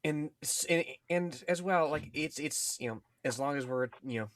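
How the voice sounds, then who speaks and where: A man co-hosting a podcast discussion, talking in a halting, repetitive, wordy and intellectual way. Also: fairly high pitch, fairly bright tone. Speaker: podcast co-host. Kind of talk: casual discussion.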